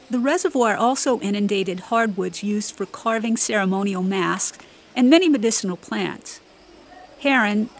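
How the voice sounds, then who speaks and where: Woman radio reporter, mid pitch, even scripted read. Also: low-quality recording. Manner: even, clear reading. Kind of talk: scripted news narration.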